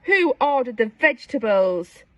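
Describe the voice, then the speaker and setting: A woman voicing a gloating, menacing male evil pea in a children's storybook, with slow theatrical delivery. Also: fairly high pitch, big pitch swings, dry recording.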